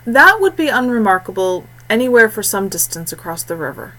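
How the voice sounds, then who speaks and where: A woman narrating a scripted fantasy story in a measured, expressive way with deliberate phrasing. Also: mid-range voice, poor audio. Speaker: audiobook narrator.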